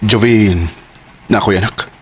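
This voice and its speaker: A man's voice: lowish voice, steady tone, unhurried, heavy background noise, natural voice. A man acting an earnest, hesitant suitor and troubled father in a Cebuano radio drama. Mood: troubled, apologetic.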